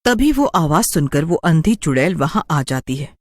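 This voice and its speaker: A woman's voice: low voice, very animated, moderate pace, darkish tone, no echo. A woman storyteller giving scripted, dramatic narration of a story.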